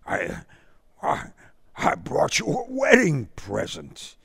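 A man's voice: on the high side, wildly swooping pitch, slow, vocal fry, a bit bright, breathy edge, dry recording, studio quality, pitched above the performer's own voice, full character voice. A man voicing a timid, overweight young suitor who stammers and speaks feebly. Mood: mumbling.

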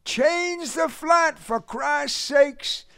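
A man voicing a brash, hot-tempered Southern uncle in an audiobook story, loud, complaining, folksy and boastful. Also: sits high, sing-song, takes it slowly, creaky, no echo, clean studio sound, pitched above the performer's own voice, exaggerated voice. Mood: distressed, irritated. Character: brash hot-tempered Southern uncle, former boxer and tall-tale teller. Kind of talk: audiobook character voice in a period story.

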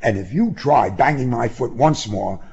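An indignant young man with a short fuse, from an audiobook story, as a man narrator acts him. He speaks bluntly and accusingly in a rough voice that jumps widely in pitch. The sound is slightly muffled. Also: big pitch swings, moderate pace, full character voice. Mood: angry, refusing. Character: hot-tempered, indignant young man driving his father's car.

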